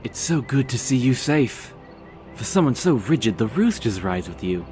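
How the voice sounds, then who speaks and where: A man's voice: mid-range voice, sing-song, normal speed, a little mellow, soft background music, lightly acted. An actor playing a loyal, friendly male knight in a fantasy audio story, speaking with cordial, teasing respect. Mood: relieved, teasing. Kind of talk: fantasy audio drama acting.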